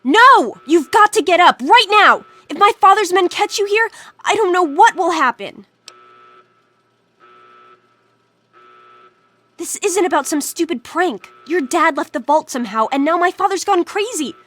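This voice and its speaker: A woman's voice: extremely high pitch, lively intonation, moderate pace, fairly bright tone, no echo. A woman playing an anxious, loyal teenage girl in a video game, earnest and pleading. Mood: urgent, alarmed. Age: young adult. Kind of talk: video game dialogue acting.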